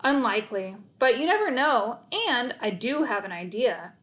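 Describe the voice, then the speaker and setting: Casting: woman reader plays storybook boy, confident math-whiz schemer, high voice, matter-of-fact and emphatic. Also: animated, moderate pace, fairly bright tone. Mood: insistent.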